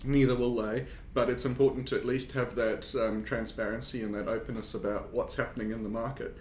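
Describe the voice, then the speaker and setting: A man's voice: flat delivery, fairly quick. A man with a South African accent, a consulting engineer talking as a podcast guest in a casual, hesitant way with many fillers and restarts. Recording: echoey room with faint rumble.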